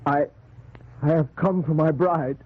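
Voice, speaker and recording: An actor playing a melodramatic, agitated colonial suitor in a 1940s radio drama. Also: male voice, a little high, fairly even intonation, unhurried. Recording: tinny old radio recording.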